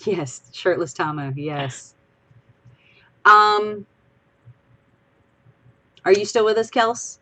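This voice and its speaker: A woman's voice: medium pitch, lively intonation, extremely slow, long pauses, pushed and bright. A woman from Louisiana with a Southern accent, co-hosting a casual livestream, rambling chattily and a bit tipsy. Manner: chatty, rambling, giggly asides. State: tipsy from drinking. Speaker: podcast co-host.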